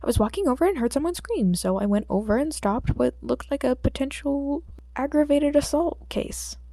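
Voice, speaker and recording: A woman's voice: sits high, animated, normal speed, dark tone, dry recording, voice pushed up. A woman voicing a cheeky, defensive teenage boy vigilante in an audiobook, protesting with exaggeration. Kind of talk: audiobook character dialogue.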